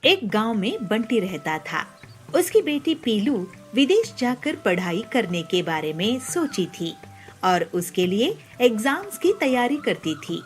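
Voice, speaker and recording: A woman's voice: fairly high pitch, sing-song, measured pace, faint music behind. A woman reading scripted narration for children's cartoon stories as the storyteller.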